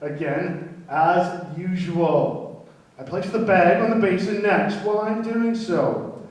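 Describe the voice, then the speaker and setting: A man playing an agitated, indignant diamond merchant in a radio play, excitable and formal in his foreign-sounding phrasing. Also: high-pitched, slow pace. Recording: very echoey, distant from microphone.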